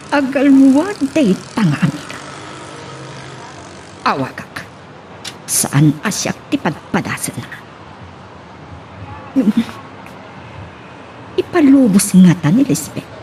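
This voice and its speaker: A woman's voice: mid-range voice, warm tone, a little hiss or hum. A woman playing a watchful informant in an audio drama, reporting on what she has seen.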